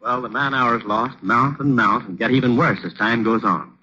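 A man's voice: mid-range voice, normal speed, bright, forceful tone, breathy edge. An American man announcing a scripted 1940s radio advertisement in an earnest, persuasive manner. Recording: dull, noisy old radio recording.

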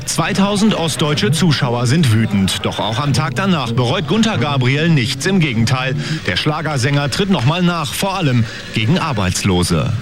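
A man's voice: medium pitch, big pitch swings, normal speed, a crowd in the background. A man reading a scripted television news report in the manner of a reporter. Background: music.

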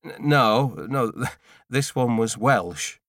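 A man's voice: mid-range voice, expressive, extremely slow, fairly bright tone, no room sound, clean studio sound, heavily acted. A man voicing an awkward, bumbling male neighbour in an audiobook, hesitant and stammering as he makes small talk.

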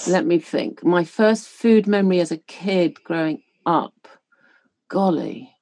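A woman's voice: on the low side, lively intonation, warm tone, no room sound. English woman, chatty storyteller, dry close sound. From England. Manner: chatty storyteller, quick bursts, laughing asides. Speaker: podcast guest. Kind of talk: casual interview chat.

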